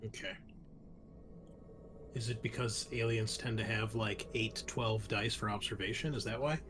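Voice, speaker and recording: A man running a tabletop roleplaying game as game master, narrating conversationally with halting pauses. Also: on the low side, fairly even intonation, over music.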